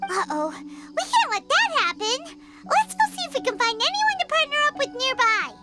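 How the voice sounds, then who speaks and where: A tiny childlike fairy sidekick from a video game with an extremely high, squeaky voice. She is excitable and quick to get indignant, swinging wildly in pitch, with loud music behind her. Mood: alarmed. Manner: talks about self in third person, indignant. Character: tiny excitable female fairy companion, childlike, easily spooked. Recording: playful background music.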